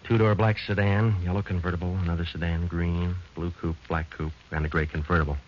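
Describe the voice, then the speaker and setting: A man playing a police detective who narrates his case in a flat, clipped, matter-of-fact 1940s radio drama style. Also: low voice, fairly quick, lightly acted. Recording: muffled, hissy old radio broadcast.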